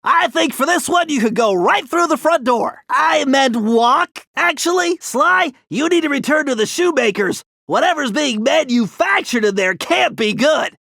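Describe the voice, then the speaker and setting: A man's voice: extremely high pitch, lively intonation, moderate pace, gravelly, few pauses, pushed and bright, no room sound, clean studio sound, full character voice. A man voicing an earnest, nerdy turtle tech genius in a video game, giving precise, urgent mission instructions. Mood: anxious, instructive. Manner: precise, technical, urgent briefing delivery.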